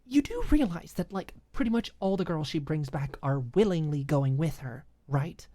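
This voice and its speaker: A woman narrator plays an exasperated young woman in an audiobook romance drama. She is defensive and indignant, hitting her words hard. The voice jumps well above the reader's low register, close and dry. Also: lively intonation, moderate pace, warm tone, dry recording. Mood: tense, defensive. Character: Maud, irritated, defensive young woman fed up with girlfriend.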